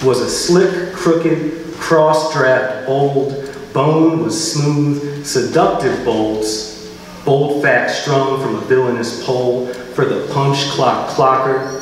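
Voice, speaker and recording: An American man reading his poetry at a live event, with measured, deliberate phrasing. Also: mid-range voice. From Philadelphia, United States. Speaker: poet reading own work. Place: live reading venue, indoors. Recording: very echoey hall, distant mic, faint noise.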